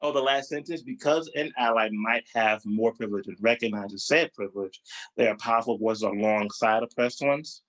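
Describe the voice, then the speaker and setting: A man running a group training over a video call. His pitch sits mid-range, and he keeps checking in with listeners, lifting his voice when he presses a point. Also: moderate pace, a bit bright, low-quality recording. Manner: encouraging, asks the group questions, rises in pitch for emphasis. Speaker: diversity trainer. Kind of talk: leading a group training session.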